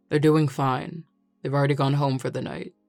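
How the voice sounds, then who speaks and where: Tsundere male bar worker played by a woman: low, curt, defensive and irritated, recorded dry. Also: steady tone, takes it slowly, vocal fry, a little mellow, no room sound, soft background music, full character voice. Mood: defensive, annoyed. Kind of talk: scripted audio roleplay.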